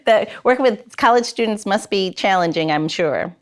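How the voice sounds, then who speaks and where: A woman's voice: mid-range voice, lively intonation, moderate pace. A woman hosting a podcast, interviewing her guests in a casual, conversational style.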